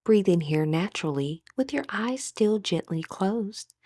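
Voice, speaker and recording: A woman with a breathy voice gently leading a guided meditation as an instructor, in measured, scripted phrases. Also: medium pitch, lively intonation, no room sound, studio quality.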